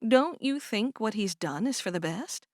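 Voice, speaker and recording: A woman reading aloud and voicing a kind, calm older sister who speaks gently and reassuringly. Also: on the high side, unhurried, no room sound, studio quality. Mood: soothing.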